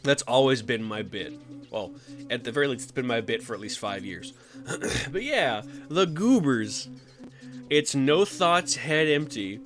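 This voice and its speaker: A man talks casually on a live stream, reacting to chat and breaking into squeaky silly voices. His delivery swings widely in pitch. Soft music plays faintly underneath. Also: measured pace. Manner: animated, jumps into high silly voices.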